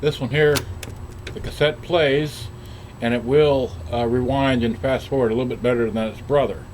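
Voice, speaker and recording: A man giving a casual product demonstration as a seller, unhurried and pausing mid-sentence. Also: mid-range voice, steady tone, a little mellow, slight background noise. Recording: radio or music playing behind him.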